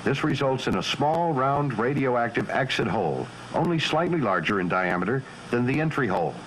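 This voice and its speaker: An American man reads scripted instructional narration for a training film in a formal, measured, matter-of-fact way. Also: medium pitch, big pitch swings, a bit bright, a little hiss or hum, rough recording.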